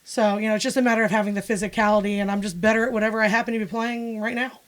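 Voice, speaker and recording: A woman's voice: medium pitch, fairly even intonation, very fast. A woman from Pennsylvania, a musician and music teacher, speaking frankly and wryly in a casual interview. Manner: frank, matter-of-fact, wry.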